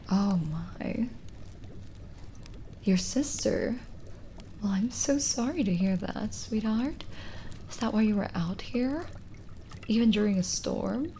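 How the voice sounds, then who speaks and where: Soft, slow-talking lonely witch played by a woman. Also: lowish voice, slight background noise. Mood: gentle, sympathetic. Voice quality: soft, breathy, often slipping into whisper. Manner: slow, hesitant, gently teasing. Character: lonely, kindly witch, gently teasing and chatty. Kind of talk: ASMR roleplay, intimate and addressed to the listener.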